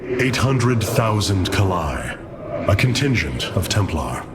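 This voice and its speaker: An actor playing a noble, resolute alien commander in a video game, earnest and formal in delivery. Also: male voice, deep voice, big pitch swings, slow, heavy background noise, heavily acted. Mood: grave, explaining. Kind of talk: dramatic video game acting. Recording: loud music behind voice.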